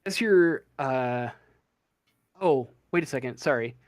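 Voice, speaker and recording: A man narrating an improvised tabletop game as game master, haltingly searching for his words. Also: medium pitch, lively intonation, no room sound, studio quality.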